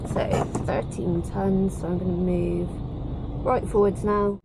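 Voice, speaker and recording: A woman's voice: lowish voice, flat delivery, slow pace, creaky. British female trucker vlogger, casual and explanatory, engine noise behind her. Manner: chatty, explanatory. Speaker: bulk tipper lorry driver and vlogger. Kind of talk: casual vlog commentary. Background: engine and vehicle noise.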